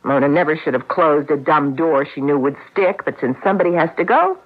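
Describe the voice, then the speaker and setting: A woman's voice: deep voice, expressive, brisk. An actress playing a witty, insecure wife in a radio drama, teasing and dramatic in her delivery. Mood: wry, coaxing. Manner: wry, dramatic, affectionate teasing. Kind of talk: old-time radio drama acting. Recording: muffled vintage radio drama.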